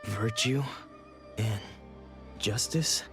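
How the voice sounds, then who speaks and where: An actor playing a grieving man with fading memories in a video game, speaking in a halting, sorrowful way that trails off. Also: fairly low pitch, over music. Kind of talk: scripted video game voice acting.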